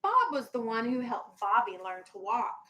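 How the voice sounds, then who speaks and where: A woman's voice: a little high, big pitch swings, normal speed, sharp tone. A woman teacher with a firm, instructive manner, reading a children's storybook aloud and talking to her class in a classroom. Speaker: elementary school teacher.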